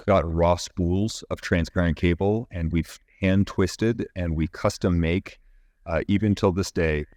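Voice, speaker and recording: A man giving technical, explanatory interview answers as a company executive, measured and often halting mid-phrase. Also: low-pitched, no room sound, clean studio sound. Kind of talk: explanatory interview answers about product engineering.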